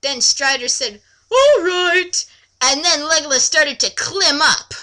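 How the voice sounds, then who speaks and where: A woman's voice: high voice, moderate pace, sharp tone. A woman giving a mocking dramatic reading of amateur fan fiction, breaking off into exasperated, sweary asides. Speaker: reader.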